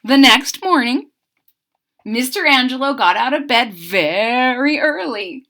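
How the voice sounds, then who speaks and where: A woman reading a picture book aloud to children, expressive and breaking off for chatty asides to her listeners. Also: high-pitched, slow pace. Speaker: story reader.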